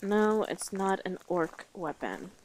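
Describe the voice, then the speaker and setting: A woman's voice: medium pitch, big pitch swings, slow, a little mellow, slight background noise, rough recording. A woman playing a brash, teasing female orc guide in a fantasy audio roleplay, with a blunt, sardonic manner. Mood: frank, reflective.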